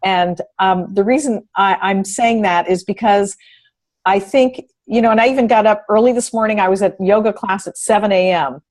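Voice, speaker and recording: Effusive woman panelist, mid-pitched. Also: normal speed, a little mellow. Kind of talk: casual unscripted panel remarks.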